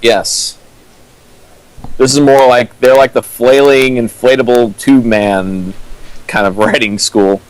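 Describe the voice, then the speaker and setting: A man's voice: medium pitch, steady tone, takes it slowly, a little mellow, clear, resonant tone, no room sound, rough recording. A young man holding forth on writing theory in a casual stream chat, earnest and full of fillers. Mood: joking. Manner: earnest lecturing with many fillers. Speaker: co-host riffing a fanfic. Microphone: home mic on a stream.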